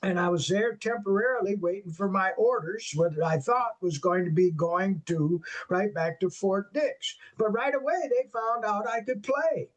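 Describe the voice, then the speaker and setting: A man's voice: high voice, moderate pace, few pauses, low-quality recording. An older man from western New York, a jazz saxophonist, telling stories in an interview and acting out the dialogue. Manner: animated, acts out quoted dialogue, peppers speech with "man". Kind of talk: storytelling in an interview.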